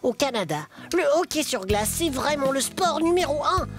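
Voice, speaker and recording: A woman voicing an excitable boy teddy bear puppet with a Belgian French accent, talking in an enthusiastic, slangy way. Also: sits high, moderate pace. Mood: excited. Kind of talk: children's puppet show. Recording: music behind the voice.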